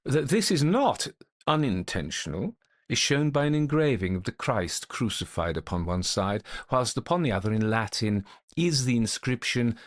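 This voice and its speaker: A man playing a gentlemanly, methodical investigator of hauntings, recounting his case in a formal, old-fashioned storytelling manner. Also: mid-range voice, big pitch swings, normal speed, lightly acted. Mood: measured, grave. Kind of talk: dramatic first-person storytelling, old-fashioned ghost story.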